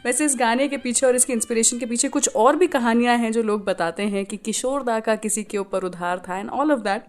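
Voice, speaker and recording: A woman's voice: fairly high pitch, a little fast. A woman with an Indian accent presenting a radio show, telling stories in a chatty, conversational way and switching between two languages. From Indore, India.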